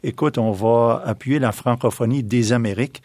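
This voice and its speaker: A man who is a guest on a radio interview, speaking haltingly with many hesitations. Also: medium pitch, darkish tone, dry recording, studio quality.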